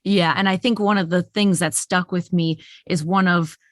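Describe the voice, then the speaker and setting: A woman's voice: fairly low pitch, fairly even intonation, moderate pace, dry recording, clean studio sound. A woman speaking as an actor guest in a casual podcast discussion.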